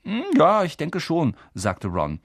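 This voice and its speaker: A man's voice: fairly high pitch, huge pitch swings, takes it slowly, husky, no room sound, studio quality, voice pushed up, heavily acted. A man voicing a curious, shy eleven-year-old boy who talks in eager bursts. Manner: eager, blurting.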